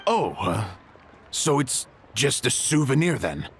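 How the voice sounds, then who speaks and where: A man's voice: mid-range voice, big pitch swings, takes it slowly, a little hiss or hum. An actor playing an eager, courteous man in a video game, with an effusive, earnest and polite way of talking. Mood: excited, explaining. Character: eager, courteous merchant man who admires lucky coins. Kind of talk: anime-style video game voice acting.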